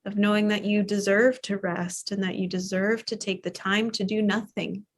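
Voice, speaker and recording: A woman teaching a yoga nidra class, talking softly and soothingly with long pauses as she guides a guided relaxation. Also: mid-range voice, little pitch movement, a little hiss or hum. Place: live online or studio class.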